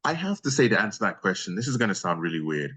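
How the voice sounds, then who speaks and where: A man's voice: lowish voice, sing-song, fast talker, bright, forceful tone, breathy edge. A man, a freelance voice actor, answering questions in a casual interview, talking effusively and appreciatively in long, rambling answers.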